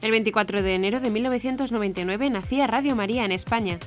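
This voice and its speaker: Woman newsreader over music. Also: fairly high pitch. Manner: formal, measured newsreading. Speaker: news presenter. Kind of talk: scripted news narration. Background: background music.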